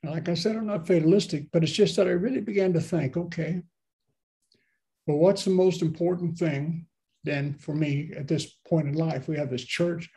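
A man's voice: fairly high pitch, fairly even intonation, normal speed, creaky, mellow. A man in his seventies, a pastor, preaching in a loose, conversational way from a home office over a video call, with asides and questions to his listeners. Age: 70s. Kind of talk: sermon. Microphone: laptop webcam on a video call.

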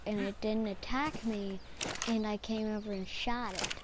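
A woman with a hoarse, strained voice from a sore throat, rambling casually as she comments on her own gameplay. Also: mid-range voice, steady tone, unhurried, background noise. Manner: rambling, talks to herself while playing. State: hoarse, recovering from strep throat. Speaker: gamer.

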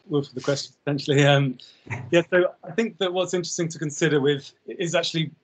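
A panelist on a video call answers loosely, thinking aloud and restarting his sentences. His voice sits fairly high for a man.

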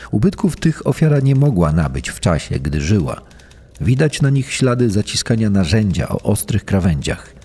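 An actor playing a sober forensic investigator, formally reading out a report for a documentary. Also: male voice, fairly low pitch, mellow, husky. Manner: formal, clinical.

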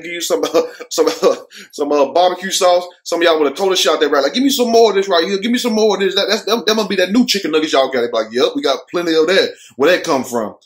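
Higher-pitched American man, blunt casual commentary. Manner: blunt, slangy, profane, joking. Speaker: online commentary show host. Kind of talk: casual opinionated commentary to camera. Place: furnished room at home.

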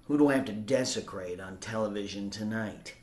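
An actor playing an imperious, cruel, short-tempered warlord in an audio drama, sneering and commanding. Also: male voice, on the low side, brisk, darkish tone, natural voice. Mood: cold, irritated. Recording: echoey room with music behind.

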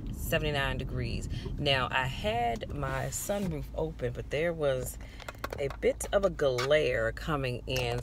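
A woman in her forties chatting in a casual, encouraging, folksy way while she drives, filmed on a dashboard camera inside a car. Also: low voice. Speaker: vlogger. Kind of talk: casual chat while driving. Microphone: phone or camera on the dashboard. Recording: loud car and road noise.

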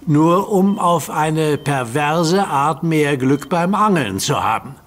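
An actor playing a calm, firm hero who talks patiently and commands in a radio play. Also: male voice, on the high side, slow pace. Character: calm heroic young man, firm leader.

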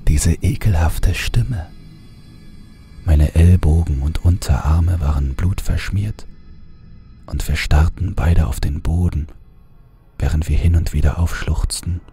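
A man reading a horror story aloud in a calm, measured first-person narration. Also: extremely low pitch, faint music behind.

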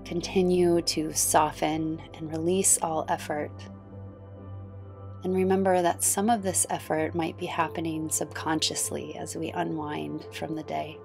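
Low, slow-spoken woman guiding meditation over music. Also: flat delivery, gravelly. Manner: soothing, gentle, unhurried. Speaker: yoga nidra guide. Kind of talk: guided meditation. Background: background music.

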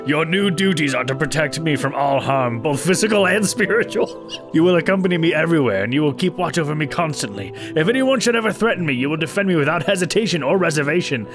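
A man's voice: on the high side, animated, fast talker, over music, close to an everyday voice. A man voicing a stern, possessive villain father in a video game fan story, grave and formal. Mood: commanding.

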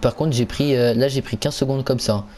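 A man's voice: medium pitch, fairly even intonation, fairly quick, a little mellow. A man giving casual commentary on a video game, hesitant and stumbling, often repeating himself. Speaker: gaming channel host.

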